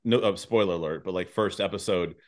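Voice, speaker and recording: Low voiced guy hosting a podcast, kinda rambles and trips over his words. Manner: halting, rambling delivery. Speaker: podcast host. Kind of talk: casual interview chat.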